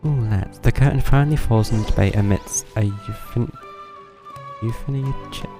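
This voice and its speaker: Very deep gravelly streamer, offhand. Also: female voice, normal speed. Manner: offhand, muttering, self-correcting. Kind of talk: casual gameplay commentary. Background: game music.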